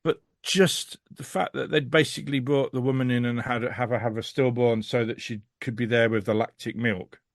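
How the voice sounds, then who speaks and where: A man talks casually as a podcast host reviewing something, in a mid-pitched voice with very little room sound. He is emphatic and exasperated, telling it in an animated, storytelling way. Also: moderate pace, hardly any pauses, dry recording, studio quality.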